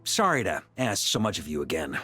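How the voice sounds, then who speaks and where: A man voicing a steady male commander who speaks calmly, reassuringly and decisively. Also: low voice, fairly quick, breathy edge, faint music behind, heavily acted. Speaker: game character.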